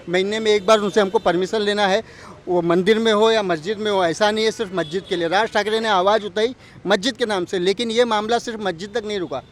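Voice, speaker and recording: A man speaks in a high-pitched voice, making his case emphatically in a street interview. He argues through pointed questions, with some traffic noise around him outdoors. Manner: emphatic, argumentative, rhetorical questioning. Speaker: local community representative interviewed on the street. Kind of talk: street interview, opinion on politics.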